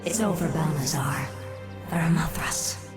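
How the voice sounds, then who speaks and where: Icy undead warrior queen: very low, commanding, merciless game cinematic role. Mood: cold, commanding. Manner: cold, commanding, deliberate. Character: cold, ruthless undead queen commanding her forsaken. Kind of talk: video game cinematic acting. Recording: loud music behind dialogue.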